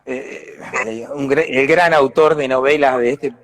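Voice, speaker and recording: A man's voice: mid-range voice, fairly even intonation, a little slow. An Argentine man, an actor being interviewed, talking casually and reflectively as he explains things and searches for words. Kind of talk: casual live conversation.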